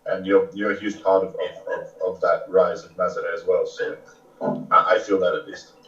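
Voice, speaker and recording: A man's voice: deep voice, little pitch movement, normal speed, a bit bright, echoey room, far from the mic, highs cut off. A male surfer, a guest in casual chat, speaking hesitantly with many fillers and restarts.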